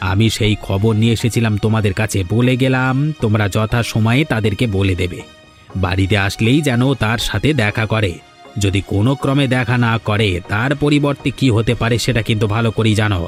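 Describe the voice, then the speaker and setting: A man's voice: lowish voice, steady tone, fairly quick, lightly acted. A man doing a sly, insolent village henchman. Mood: needling. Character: village landlord's sly male errand man, insolent tattletale. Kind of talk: cartoon voice acting. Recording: music behind the voice.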